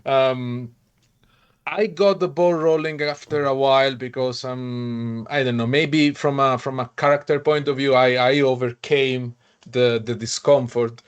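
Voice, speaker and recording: Man, halting casual chat. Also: medium pitch, fairly even intonation, clear, resonant tone, lo-fi sound. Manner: halting, many restarts and fillers, laughs often. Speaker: podcast host.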